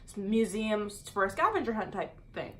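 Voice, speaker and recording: A young woman vlogger telling a casual storytime with her roommate, chatty and animated, dropping "dude" and "exactly" often. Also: medium pitch, moderate pace, a little hiss or hum. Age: young adult.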